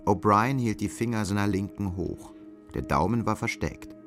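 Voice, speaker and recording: A man's voice: on the low side, moderate pace, fairly bright tone, faint music behind. A man narrating an audio drama in scripted literary prose.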